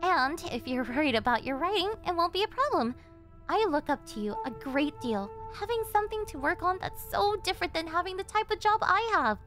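Yandere girlfriend, very high voice, sugary and controlling, obsessive captor, ASMR roleplay over music. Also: lively intonation, fairly quick, a bit bright. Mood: condescendingly soothing. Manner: cloying, coaxing, with breathy giggles and gasps. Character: possessive, obsessive young yandere girlfriend, sweetly menacing captor.

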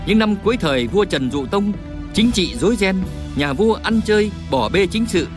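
A man's voice: sits high, expressive, moderate pace. A man reading scripted documentary-style narration as a narrator. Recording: loud music behind narration.

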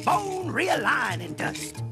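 A man's voice: extremely high pitch, big pitch swings, slow pace, over loud music, exaggerated voice. Cranky, wildly swooping cartoon witch doctor. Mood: competitive. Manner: indignant, boastful, theatrical. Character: cranky, superstitious older male witch doctor. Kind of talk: cartoon voice acting.

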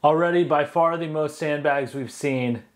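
A man's voice: a little high, moderate pace. Young man, channel host, upbeat reactions, headset mic. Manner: enthusiastic, upbeat asides. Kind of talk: reaction commentary.

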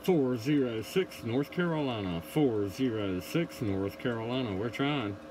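A man's voice: mid-range voice, animated, a little slow. A man operating a CB radio, calling out and answering contacts in short radio phrases. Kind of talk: CB radio calls. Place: indoor radio room. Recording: noisy room with radio static.

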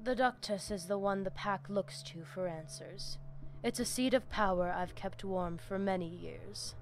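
A woman's voice: mid-range voice, a little slow, a little hiss or hum, natural voice. A woman playing a stern vampire gang boss in a video game, firm and menacing. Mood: proud, commanding. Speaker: vampire gang leader character. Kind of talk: scripted video game dialogue.

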